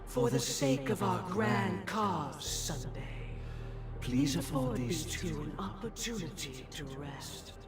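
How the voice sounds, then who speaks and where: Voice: medium pitch, sing-song, slow. An actor playing a solemn, grandly serene otherworldly dream-lord with veiled menace in a video game, stately and formal, in echoing reverb. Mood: veiled threat. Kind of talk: scripted video game voice acting. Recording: distant, echoing voice under loud music.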